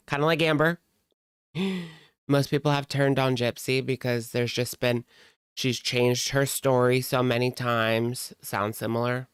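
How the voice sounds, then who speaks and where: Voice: mid-range voice, steady tone, unhurried, smooth, dry recording, studio quality. A streamer giving casual live commentary in a blunt, sarcastic, conversational way. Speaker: reaction streamer.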